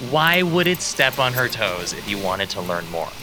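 A man's voice: on the low side, sing-song, moderate pace, over music. An actor playing a friendly, encouraging man making breezy, reassuring small talk in an audio drama.

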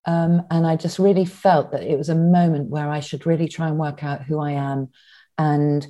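A woman speaks as an interview guest. Her voice sits low and sounds warm and mellow. She pauses often, restarts her sentences and fills gaps with ums as she thinks back. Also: fairly even intonation. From England. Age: 60s. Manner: reflective, with many restarts and ums. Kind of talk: conversational interview.

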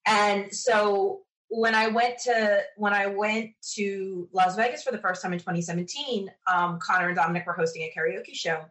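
A woman's voice: mid-range voice, moderate pace, few pauses, a bit bright, poor audio. A woman from the United States chatting enthusiastically as a podcast interview guest, telling stories in a casual way. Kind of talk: casual interview chat, animated anecdotes.

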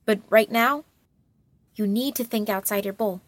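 An actress playing a patient, frank woman who gives earnest, gently firm counsel in an audio drama. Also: medium pitch, unhurried, dry recording, close to an everyday voice.

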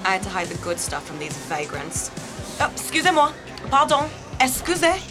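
An actress plays a flamboyant bohemian woman who flirts and teases effusively. Her medium-pitched voice is theatrical and slips into French phrases, over music in an audio drama. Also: big pitch swings, moderate pace, lightly acted. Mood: theatrical, playful.

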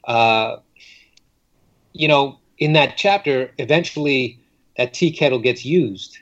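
A man speaking as a writer being interviewed, thoughtful and halting, with frequent reflective pauses. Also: medium pitch, a bit bright. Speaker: guest fiction writer. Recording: dull lo-fi recording.

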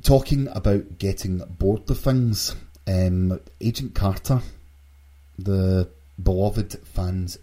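A man with a lowish, dark and relaxed voice hosts a casual podcast. He introduces news items conversationally and gives blunt, dry opinions. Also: slightly rough. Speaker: podcast host.

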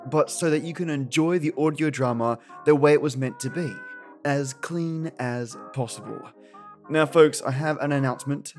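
Effusive male podcast host over light music. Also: mid-range voice. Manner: effusive, playful, addresses listeners affectionately. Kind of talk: warm scripted show introduction with listener thanks.

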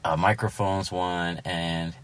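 Halting, rambling male podcast host. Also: deep voice, level tone, clean tone, no echo. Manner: halting and rambling, with frequent restarts. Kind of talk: casual conversational interview. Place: parked car.

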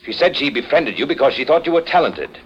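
An actor playing a bitter, self-assured widowed husband, speaking with formal insistence in a 1940s radio drama. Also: male voice, medium pitch, big pitch swings, quick pace, husky. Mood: accusing. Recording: muffled vintage 1940s radio recording.

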